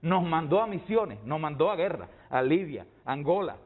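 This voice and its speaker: A man's voice: on the high side, big pitch swings, normal speed, narrow, tinny audio. A middle-aged man from rural Cuba with a Cuban accent, giving emphatic personal testimony as a guest in a heated debate, repeating phrases for weight.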